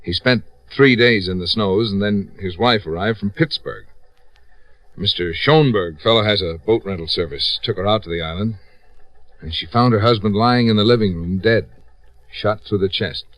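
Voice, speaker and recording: An actor playing a helpful small-town American police captain in a 1950s radio drama, explaining things in a deliberate, folksy way. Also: male voice, fairly low pitch, fairly bright tone, no room sound, close to an everyday voice. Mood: helpful, informing. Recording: vintage radio recording.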